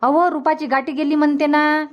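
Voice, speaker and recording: A woman's voice: sits high, little pitch movement, moderate pace, natural voice. A woman voicing a grumbling, doubting middle-aged mother in a cartoon comedy, with a Varhadi Marathi accent. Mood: concerned. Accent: Varhadi Marathi from Vidarbha.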